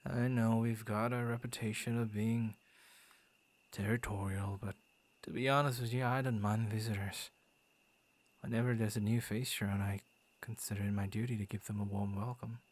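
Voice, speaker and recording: A man's voice: on the low side, little pitch movement, moderate pace, lightly acted. A man voicing a friendly, bashful werewolf who guards his forest, stammering and playfully theatrical, in an ASMR boyfriend roleplay. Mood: amused, welcoming.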